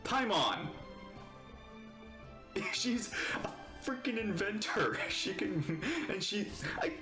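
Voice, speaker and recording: A man giving casual live reaction commentary as a game streamer, exclamatory and halting. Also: sits high, very animated. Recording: roomy microphone with game music behind.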